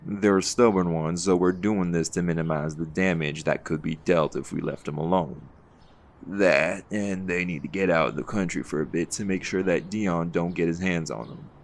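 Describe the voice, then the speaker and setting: An actor playing a calm, authoritative vigilante leader in an audio roleplay, with a folksy, firm way of talking. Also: male voice, low-pitched, moderate pace, creaky. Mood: firm, patient.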